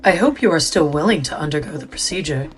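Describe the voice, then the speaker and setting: A woman playing a composed, officious official at a secretive installation in an audio drama, formal and measured. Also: low voice, animated, faint music behind. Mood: composed, businesslike.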